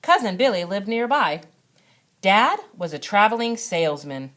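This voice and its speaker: A woman narrates a children's storybook in the manner of a schoolteacher. Her medium-pitched voice moves expressively up and down, with dramatic, deliberate phrasing made for young listeners. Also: big pitch swings, a bit bright. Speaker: second grade teacher reading aloud.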